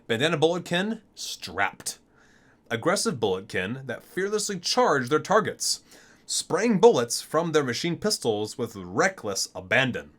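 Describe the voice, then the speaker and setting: A man's voice: mid-range voice, a bit bright. A man doing an ASMR read-aloud, mixing scripted lines with casual asides and often stumbling and correcting himself. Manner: halting, often repeats and corrects himself while reading. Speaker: video host reading aloud from a game book.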